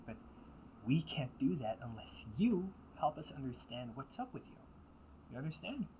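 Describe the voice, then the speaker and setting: A man playing a teasing but protective older brother in an intimate audio roleplay, talking casually and earnestly with halting pauses. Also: mid-range voice, sing-song, a little mellow, a little hiss or hum, lightly acted, low-quality recording. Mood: earnest, reassuring. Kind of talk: intimate audio roleplay monologue addressed to listener.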